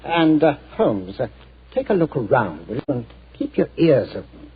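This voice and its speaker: An actor playing a hearty army general in a 1940s radio drama, often hesitating with "uh". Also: male voice, mid-range voice, very animated, normal speed. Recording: tinny old radio broadcast.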